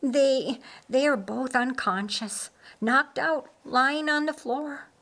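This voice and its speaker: Shaken young heroine of an audiobook tale played by a woman, raised pitch, halting, earnest, insistent. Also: a bit bright, exaggerated voice. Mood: adrenaline-stoked, insistent. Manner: halting, repeating words, insistent. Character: shaken, earnest young woman in her twenties, biblical times.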